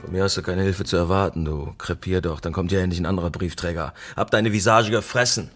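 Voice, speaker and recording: Actor playing deep-voiced, gruff, hostile sick neighbour. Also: male voice, fairly even intonation, fast talker, music in the background, close to an everyday voice. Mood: hostile, contemptuous. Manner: gruff, contemptuous. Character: hostile, crude, bedridden sick male neighbour, former doctor. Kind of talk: German radio drama acting.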